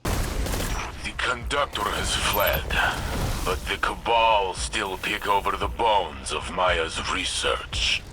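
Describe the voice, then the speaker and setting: A grim, resolute sci-fi game commander, speaks in a low voice. His delivery is slow, deliberate and solemn, with clear mechanical noise around him. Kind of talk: scripted game radio dialogue. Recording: mechanical ambient noise behind.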